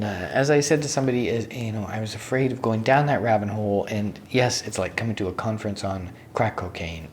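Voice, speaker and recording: A man with a slightly raspy voice giving a casual, unscripted recap for a vlog, pausing to search for words and drifting into asides. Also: fairly low pitch. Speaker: vlogger, software developer. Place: hotel room. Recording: faint steady room noise.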